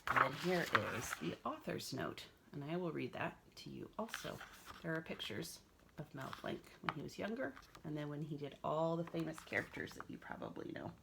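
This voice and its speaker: A woman's voice: low voice, sing-song, moderate pace, creaky, background noise. A woman reading a children's story aloud as a school librarian, expressively and with small asides.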